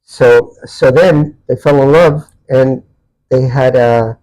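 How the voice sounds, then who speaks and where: A man in his sixties from Chile, a Spanish speaker, telling personal stories haltingly as he searches for words. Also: medium pitch, fairly even intonation, a little mellow, poor audio. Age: 60s. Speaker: podcast guest. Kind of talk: personal storytelling in a casual interview.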